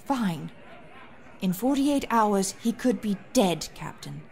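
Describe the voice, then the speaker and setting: A woman playing a composed, earnest noble rebel leader who speaks formally and gravely in a science fiction audio drama. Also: medium pitch, lively intonation, slow pace, darkish tone. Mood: worried.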